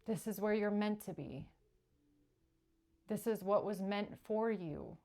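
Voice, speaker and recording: A woman hosting a tarot reading, speaking spontaneously to viewers and repeating short phrases for emphasis between pauses. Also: medium pitch, mellow. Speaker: tarot reader and channeller, show host.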